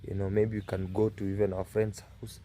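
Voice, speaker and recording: Hoarse young guy vlogging outside his home, slow and sad, lots of uhs, kinda dark voice. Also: deep voice, level tone, a little hiss or hum. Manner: soft-spoken and mournful, with frequent fillers. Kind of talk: casual vlog to camera. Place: outdoors at a family home.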